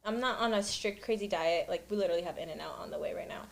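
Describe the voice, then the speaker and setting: A woman's voice: medium pitch, quick pace, creaky, heavy background noise. A young woman, a student, chatting as a podcast guest, talkative and full of "like" fillers. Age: young adult. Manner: chatty and giggly, fills with "like". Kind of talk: casual chat.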